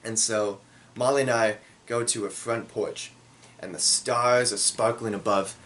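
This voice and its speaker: A man telling a comedic story from his own life in a theatrical, self-deprecating way, with dramatic pauses. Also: on the low side, fairly even intonation, a bit bright.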